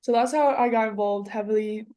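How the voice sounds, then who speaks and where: A woman's voice: fairly high pitch, fairly quick, dark tone. A woman in her twenties from Colombia, a professional footballer chatting as a podcast guest, with lots of fillers and restarts. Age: 20s. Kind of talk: casual interview chat.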